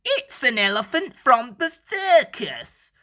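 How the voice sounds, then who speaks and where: A no-nonsense storybook foreman read by a woman, a practical man in charge. The delivery is brisk and dismissive, with a bright, forceful edge. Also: on the high side, very animated, voice pushed up, full character voice. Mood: surprised.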